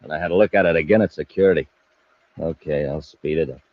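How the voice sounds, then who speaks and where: A scheming, boastful male crook in a vintage space serial. His voice sits low, and the old recording is dull and lo-fi. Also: quick pace, a little hiss or hum. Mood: smug, boastful. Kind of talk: old-time radio sci-fi acting.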